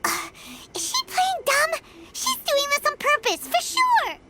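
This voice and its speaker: A woman's voice: extremely high pitch, wildly swooping pitch, slow, noisy background, exaggerated voice. A woman voicing a tiny childlike female companion creature in a video game, chatty and speaking of herself by name. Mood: incredulous. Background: game music.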